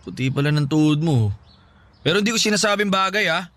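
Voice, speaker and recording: A man's voice: fairly high pitch, animated, fast, a bit bright, no echo. An actor playing a teasing, bewildered young male best friend in a radio drama, mocking and incredulous. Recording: clear background ambience noise.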